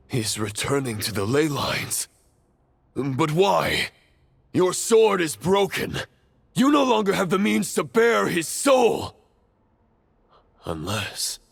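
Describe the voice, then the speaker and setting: An actor voicing a cold, gloating, ancient villain in a video game, speaking in a grandiose, deliberate manner. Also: male voice, fairly high pitch, wildly swooping pitch, vocal fry, slightly rough, dry recording, exaggerated voice. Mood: disbelieving. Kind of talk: scripted video game acting. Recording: voice over game music.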